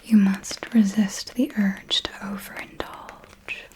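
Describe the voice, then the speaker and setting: A woman's voice: mid-range voice, level tone, natural voice. A woman voicing an elegant, possessive vampire mentor in an ASMR roleplay, whispering breathily and formally, close to the microphone. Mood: calm, stern. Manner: slow, deliberate, formal, intimate. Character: elegant female vampire mentor, possessive, fond, calmly commanding.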